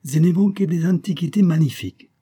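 Man playing a courtly old shopkeeper, pitched up. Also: expressive, unhurried, warm tone. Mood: proud. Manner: obsequious, salesmanlike, ornate politeness.

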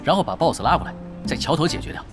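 A man's voice: fairly high pitch, lively intonation, very fast, creaky. A man playing a cocky, playful young expert gamer in a Chinese audio drama, teasing and nonchalant with a theatrical delivery. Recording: music behind the voice.

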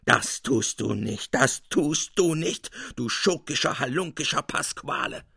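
A man's voice: mid-range voice, expressive, measured pace, vocal fry, sharp tone, husky, no echo, clean studio sound, exaggerated voice. A man voicing a vain, easily enraged old miser in a radio storybook reading, pompous, exclamatory and theatrical. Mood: outraged.

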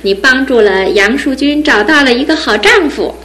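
An actress playing a caring young bride who speaks calmly and with gentle firmness. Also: female voice, high voice, normal speed. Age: young adult. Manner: warm, calm, gently firm. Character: caring new bride, steady and sensible. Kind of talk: 1970s radio drama acting.

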